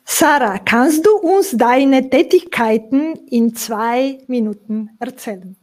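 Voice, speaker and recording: A woman's voice: sits high, takes it slowly, darkish tone. A woman hosting an interview, reading a scripted intro and then asking long questions full of hesitant "äh" fillers. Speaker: interview host.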